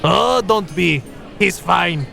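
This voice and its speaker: Cheeky boisterous hunter, very creaky voice, bright edge, folksy teasing. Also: male voice, sits high, lively intonation, slow pace, faint music behind, exaggerated voice. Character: jovial, cheeky, chatty hunter and ale drinker. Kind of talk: fantasy audio drama acting.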